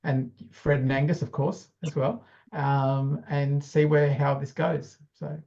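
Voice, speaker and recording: A man's voice: mid-range voice, level tone, slow, gravelly, mellow. Man speaking casually, dull muffled audio. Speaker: course participant, dentist. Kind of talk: casual self-introduction to a group.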